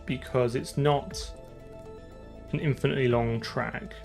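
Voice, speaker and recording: A man's voice: mid-range voice, steady tone, measured pace, gravelly, darkish tone, faint music behind. A man chatting casually on a live coding stream, thinking aloud in an informal way. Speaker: streamer and game programmer.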